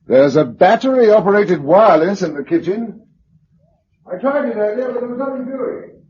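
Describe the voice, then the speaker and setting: A man's voice: high-pitched, lively intonation, normal speed, darkish tone, husky. A man acting in a British radio drama as a practical, level-headed survivor, speaking in a clipped, matter-of-fact way with a British accent. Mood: tense, doubtful. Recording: dull lo-fi radio recording, faint noise.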